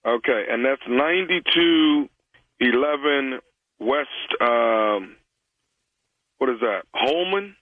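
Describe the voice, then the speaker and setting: A man playing a polite, hesitant hearse driver on a prank phone call, halting yet matter-of-fact and reassuring. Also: medium pitch, animated, no echo. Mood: polite, businesslike. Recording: dry, dull phone-call audio.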